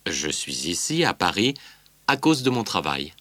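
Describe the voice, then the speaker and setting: A man's voice: sits low, big pitch swings, a little slow, bright, forceful tone, dry recording, full character voice. An actor voicing a male singer on a promo tour, reading a scripted interview in an easygoing way. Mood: candid.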